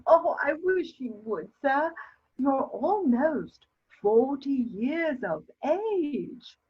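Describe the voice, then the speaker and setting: A woman's voice: sits high, big pitch swings, very slow, exaggerated voice. A woman playing an imperious, scolding elderly aristocratic mother in a theatrical period comedy reading, emphatic and haughty. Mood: indignant. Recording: dull lo-fi sound.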